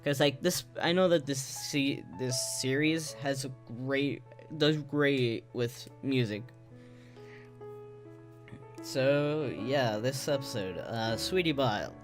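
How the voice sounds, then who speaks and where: Low-voiced, unhurried woman commentator. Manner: giggly, echoing lines and singing along. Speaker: video commentator. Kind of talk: casual reaction commentary over a cartoon. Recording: loud music behind the voice.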